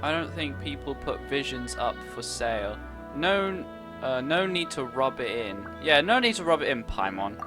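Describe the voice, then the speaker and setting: Very low-voiced, playful woman gamer. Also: lively intonation, normal speed, over music. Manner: teasing, jokey, prone to giggling. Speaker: gamer reading dialogue choices and commenting. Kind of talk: playful let's-play commentary.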